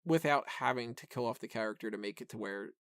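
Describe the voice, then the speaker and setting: A man's voice: medium pitch, fast, a bit bright, clear, resonant tone, dry recording. Rambling man in casual podcast talk, dry sound. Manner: rambling, hedging with frequent "like", self-interrupting. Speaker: podcast host and film reviewer. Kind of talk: casual opinionated film discussion.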